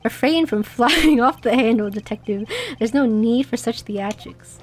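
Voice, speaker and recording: A woman's voice: a little high, unhurried, faint music behind. A woman reading game lines as a stern, haughty young male prosecutor, formal and coldly reproachful. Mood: cool reproach. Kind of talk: reading game dialogue aloud.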